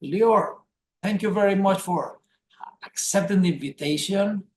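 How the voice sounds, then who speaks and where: An older man from Colombia, hosting a webinar over a video call and introducing his guest deliberately and emphatically. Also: high-pitched, a little mellow, a little hiss or hum. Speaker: webinar host. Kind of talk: scripted introduction. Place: indoors at a home desk, blurred background. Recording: roomy echo.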